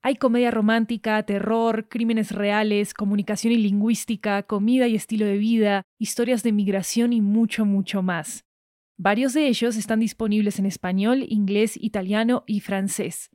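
A woman's voice: mid-range voice, steady tone, moderate pace, darkish tone, no echo, clean studio sound. A woman with an Argentine accent reading scripted promotional narration as a podcast announcer.

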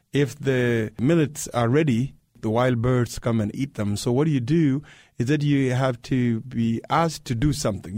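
Male guest from South Sudan, unhurried storyteller, mid-pitched, dry studio sound. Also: a little mellow, no echo. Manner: unhurried, plain, repeats words for emphasis. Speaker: guest, human rights activist. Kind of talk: radio interview, recalling childhood. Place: radio studio.

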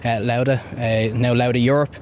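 A man's voice: medium pitch, flat delivery, moderate pace, darkish tone, a little hiss or hum. A young man, an airline recruitment officer, speaking eagerly in an interview. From Ireland. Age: young adult.

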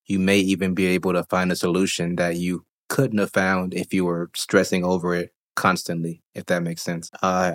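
A man hosting a solo podcast, talking calmly and reflectively while getting over a cold. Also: sits low. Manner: calm, reflective, thinks aloud with fillers. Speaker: podcast host.

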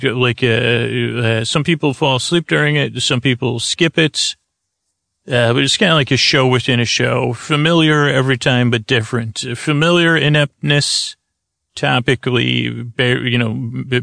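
Male bedtime podcast host, creaky voice, rambling improvised delivery, full of detours, very dry studio sound. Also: medium pitch, fairly bright tone, no room sound. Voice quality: gravelly, creaky. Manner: meandering, halting, digressive, self-interrupting.

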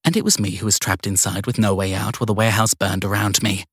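A man voicing a bold, steely woman detective in an audiobook, speaking in a defiant, pointed, deliberate way. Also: lowish voice, steady tone, slightly rough, no echo, studio quality. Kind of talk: audiobook character dialogue.

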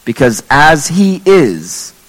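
A man preaching a sermon as pastor, earnest and measured, reading scripture aloud while his voice is going hoarse. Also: on the high side, big pitch swings, long reverb tail. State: losing his voice.

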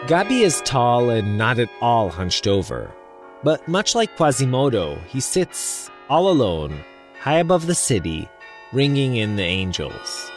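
A man narrating a news feature as the reporter, in a lively storytelling delivery. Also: medium pitch, measured pace, soft background music.

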